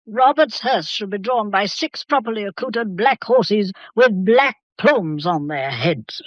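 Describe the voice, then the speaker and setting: Imperious old matriarch, muffled sound. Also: female voice, medium pitch, animated, measured pace, no room sound. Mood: imperious, disapproving. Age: older. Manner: commanding, formal, disapproving. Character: imperious old matriarch grandmother, stern and proud. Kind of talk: period mystery radio drama acting.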